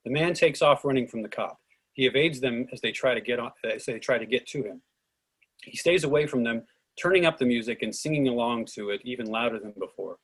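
A man narrates a script's stage directions in short declarative sentences. His voice sits in a middle register with a husky, slightly rough grain. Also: mid-range voice, brisk, poor audio.

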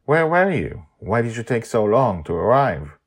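A man's voice: mid-range voice, big pitch swings, normal speed, breathy edge, voice pushed up. A man voicing an earnest, admiring young French woman athlete in an audio story. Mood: insistent.

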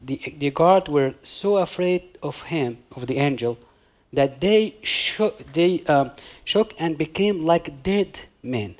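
A man with an Egyptian accent, a native Arabic speaker, preaching a sermon as a guest pastor, halting now and then as he hunts for words. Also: a little high, lively intonation, darkish tone. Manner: halting, hunting for words with many pauses.

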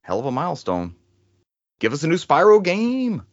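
A man's voice: on the high side, wildly swooping pitch, moderate pace, dry recording, lo-fi sound. A man hosting a casual gaming news chat in a joking, sometimes profane way. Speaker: podcast host.

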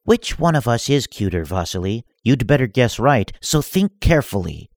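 A man's voice: medium pitch, big pitch swings, moderate pace, no room sound, clean studio sound, voice pushed up. Man doing a haughty, unhinged Sith princess. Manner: haughty, threatening. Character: crazy, cruel female Sith princess.

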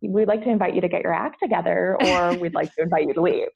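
An American woman with a creaky, husky voice shares her story as an interview guest. She talks candidly and haltingly, rephrasing as she goes. The sound is slightly dull, as if over a remote line. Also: on the low side, darkish tone. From United States. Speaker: podcast guest. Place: at home, remote podcast call.

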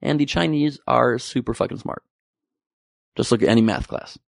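A man's voice: mid-range voice, animated, moderate pace, vocal fry, no room sound, studio quality. A young man giving a comedic, profane monologue about the news with joking asides. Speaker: comedy commentary host.

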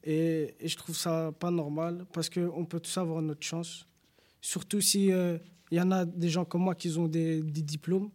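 A man's voice: on the high side, flat delivery, measured pace, a little mellow. A young man giving an earnest, indignant personal account in a panel discussion, with many fillers. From Belgium. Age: young adult. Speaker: panel guest.

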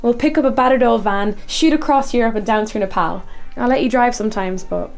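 A woman's voice: a little high, brisk, gravelly, darkish tone, soft background music. A woman playing an idealistic, curious teenage girl in a radio drama, earnest and coaxing. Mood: hopeful.

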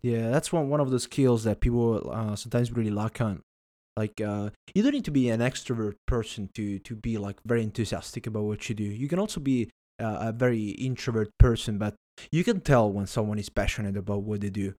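A man's voice: on the low side, a little fast, warm tone. A man whose first language is Italian, hosting a podcast and chatting casually with a guest, friendly and conversational, with fillers and small laughs. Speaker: podcast host. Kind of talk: casual interview chat.

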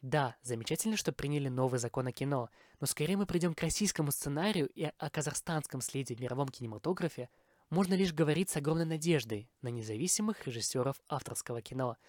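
A man's voice: a little high, animated, fast, darkish tone, dry recording, studio quality. A man from Kazakhstan hosting a podcast, delivering a scripted review monologue. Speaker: podcast host.

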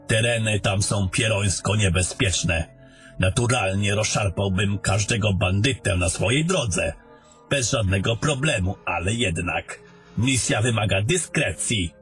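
A man playing an old, haughty, sardonic wizard in a fantasy audio drama, lecturing in a deliberate, grumbling manner over music. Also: fairly low pitch, fairly bright tone. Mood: grave. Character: old haughty sardonic male wizard, gruff mentor to a soldier.

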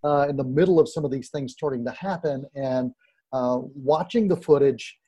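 A man's voice: medium pitch, normal speed, warm tone, breathy edge. A middle-aged man hosting an interview, speaking conversationally in hesitant, broken-up phrases. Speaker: interview show host.

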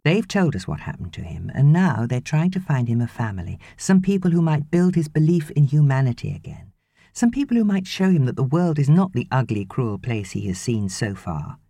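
Woman narrator as exasperated loving husband, emphatic, persuasive, animated pitch, soft mellow tone, audiobook drama. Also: moderate pace, no room sound, exaggerated voice. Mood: earnest, tender.

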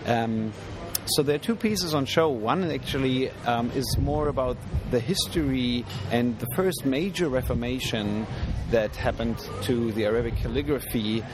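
A man's voice: medium pitch, moderate pace. A man, an artist, explains his work in an interview, thoughtful and full of ums. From Germany. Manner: thoughtful, hesitant, many ums. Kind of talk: casual interview. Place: outdoors at an art fair. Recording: noisy outdoor recording.

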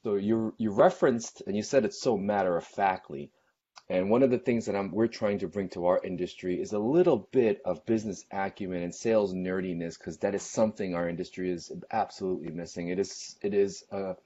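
A man co-hosting a podcast, putting questions to a guest conversationally and rephrasing haltingly as he goes. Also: low-pitched, warm tone, husky, highs cut off. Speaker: podcast co-host interviewing a guest.

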